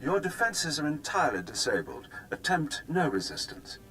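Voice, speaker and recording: An actor playing a cold, haughty Imperial fleet commander, imperious and deliberate, in a sci-fi video game. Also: male voice, fairly high pitch, huge pitch swings, sharp tone, slight background noise, heavily acted. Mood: commanding. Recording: music behind the voice.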